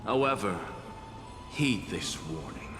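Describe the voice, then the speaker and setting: Stern aloof professor from a game, pompous n exact, echoey hall, music under it. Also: male voice, low-pitched, animated, slow. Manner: pompous, precise, admonishing. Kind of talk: video game voice acting.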